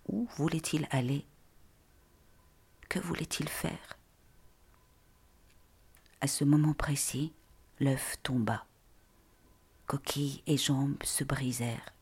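A woman reading literary texts in a breathy, whispery voice with deliberate, measured phrasing. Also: rumbling low, a little mellow.

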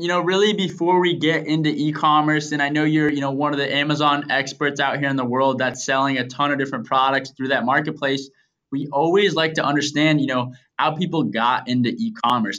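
A man's voice: a little high, fairly bright tone, lo-fi sound. A man hosting a podcast interview, asking questions with enthusiasm and many casual fillers. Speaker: podcast host.

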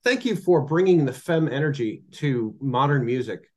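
A woman radio host interviewing a musician, encouraging and a bit halting, with restarts. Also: very deep voice, expressive, darkish tone. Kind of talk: casual interview.

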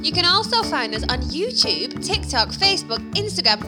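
Woman doing a sing-songy podcast outro, high and bouncy, loud music behind. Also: big pitch swings, moderate pace. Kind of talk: pre-recorded outro announcement.

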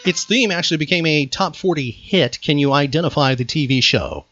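A man's voice: on the high side, fairly quick, highs cut off, over loud music. A man hosting a chatty trivia podcast in a playful, teasing manner. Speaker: podcast host.

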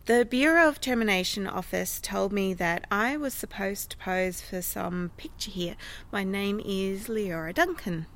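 A woman's voice: medium pitch, unhurried, fairly bright tone. A woman playing a prim, earnest hostess in an audio drama, with a proper, gushing, genteel manner. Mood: brisk, polite. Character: prim, earnest female euthanasia-service hostess.

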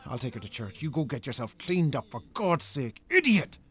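An actor playing an angry, scornful Irish brother in a radio drama, scolding with sarcasm and swearing. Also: male voice, medium pitch, sing-song, normal speed, fairly bright tone, breathy edge. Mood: contemptuous. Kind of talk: naturalistic radio drama acting.

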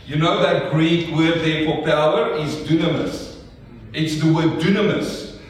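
A South African man preaches with a fairly high voice, pushing his points hard and calling for answers from the pews. He sounds set back from the mic, and a long reverb from the church hall trails every phrase. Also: steady tone, a little slow, distant mic. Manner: emphatic call-and-response preaching. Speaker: pastor. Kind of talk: sermon.

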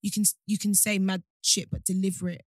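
A woman's voice: medium pitch, flat delivery, brisk, no echo, studio quality. A young woman co-hosting a podcast, speaking frankly and bluntly in casual chat.

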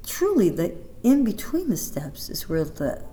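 A woman in her fifties, a dancer, chatting in an interview and telling animated stories with many restarts and asides. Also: lowish voice, a little slow. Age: 50s. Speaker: interview guest, Broadway dancer. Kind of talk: casual interview chat.

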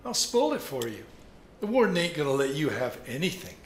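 A man playing a brusque prison guard in an audio drama, loud, curt and commanding. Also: on the high side, very animated, brisk. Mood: mocking, commanding. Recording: echoey room, faint noise.

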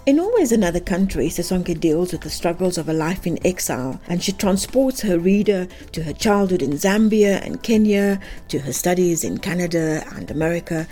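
A woman's voice: fairly low pitch, mellow. A woman hosting a podcast, reading a scripted introduction and then asking conversational questions. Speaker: podcast host. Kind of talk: podcast interviewing.

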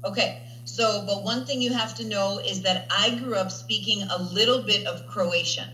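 A chatty woman teacher with a bright, clear voice that keeps asking "right?" as she talks. She sits in an echoey room with a faint hum underneath. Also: medium pitch, normal speed. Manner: chatty, tags statements with "right?". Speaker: language teacher. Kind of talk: interview conversation.

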